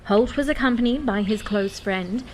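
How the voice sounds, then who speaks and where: A woman's voice: mid-range voice, normal speed, lightly acted. A woman playing an earnest 1960s news reporter giving an on-the-scene report in a radio play.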